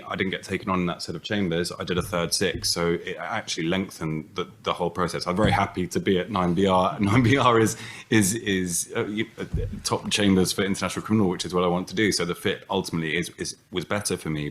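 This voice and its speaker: A man's voice: deep voice, fairly even intonation, fairly quick, a bit bright, slight background noise. A man, a barrister on a career panel, giving candid advice with hesitant restarts that settle into fluent explanation.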